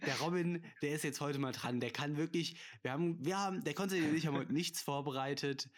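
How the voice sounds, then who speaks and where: A man's voice: a little high, brisk. Jokey rambling male podcast host. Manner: jokey, laughs often, rambles. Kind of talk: casual podcast chat.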